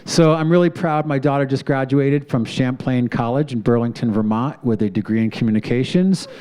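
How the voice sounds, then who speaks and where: A man's voice: medium pitch, fairly quick. A middle-aged man hosting a live community TV studio show, talking in a proud, easygoing way with plenty of fillers. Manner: proud, relaxed, rambling with fillers. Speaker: show host.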